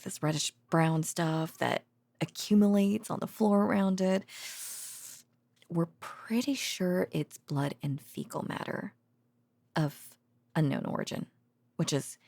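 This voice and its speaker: Low, creaky-voiced tired researcher girlfriend, acted by a woman. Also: a little mellow, dry recording, heavily acted. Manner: hesitant, rambling, sighing, wryly sarcastic. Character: tired, teasing affectionate girlfriend, a researcher at a secret containment foundation. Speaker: voice actor. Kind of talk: intimate audio roleplay, sleepy bedtime rambling.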